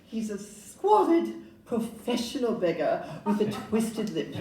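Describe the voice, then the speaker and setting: A woman's voice: medium pitch, dark tone. An actress playing a polite, upbeat Victorian London estate agent in a stage audio play, genteel and salesmanlike as she glosses over flaws. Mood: brightly evasive. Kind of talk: audio-play acting before an audience. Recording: roomy, distant live pickup, faint noise.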